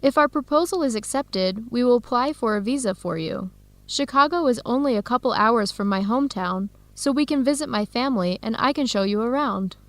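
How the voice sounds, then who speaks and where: A woman's voice: fairly high pitch, a little fast, no room sound, close to an everyday voice. A woman with an American accent playing a friendly, encouraging young researcher in an educational radio drama. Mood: explaining, encouraging. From United States.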